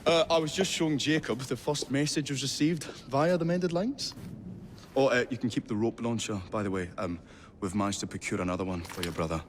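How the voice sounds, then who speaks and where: Casting: Victorian male inventor, played by a man, mid-pitched, hesitant, very polite, game cutscene with some noise. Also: fairly quick, close to an everyday voice. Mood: grateful. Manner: flustered, hesitant, old-fashioned polite. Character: earnest, flustered, courteous Victorian male inventor. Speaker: game character actor. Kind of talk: video game cutscene acting.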